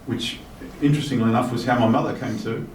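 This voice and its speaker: A man in his fifties giving a speech, reading a message and adding personal remarks in a relaxed way with "um" fillers. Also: medium pitch, fast. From Australia. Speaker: guest speaker reading a minister's message. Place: large hotel reception hall. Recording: echoey hall from far off with faint noise.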